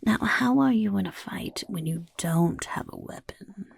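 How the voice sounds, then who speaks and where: A woman voicing a scheming little goblin overlord who interviews recruits in a soft-spoken ASMR roleplay, whispering smugly. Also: mid-range voice, a little slow, full character voice. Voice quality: gravelly, breathy whisper. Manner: whispery, smug, mischievous questioning. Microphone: close ASMR mic.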